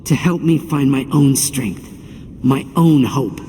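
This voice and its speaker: An actor playing an earnest, cocky young athlete hero in a video game cutscene, speaking casually. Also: male voice, medium pitch, slow, dark tone, close to an everyday voice. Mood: earnest resolve. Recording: long hall reverb, music behind. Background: game music.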